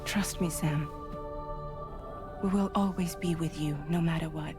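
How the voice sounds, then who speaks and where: An actress playing a devoted, earnest friend, tender and imploring with breathy phrases, in a video game cutscene. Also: female voice, sits low, fairly even intonation, measured pace. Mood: tender, reassuring. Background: music.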